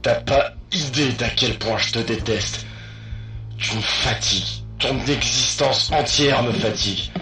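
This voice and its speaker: An actor playing a hateful, weary uncle in a French audio drama, speaking with drawn-out contempt. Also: male voice, medium pitch, sharp tone. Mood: bitter contempt. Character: hateful, weary uncle berating his dependent relative. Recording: echoey, distant voice over music.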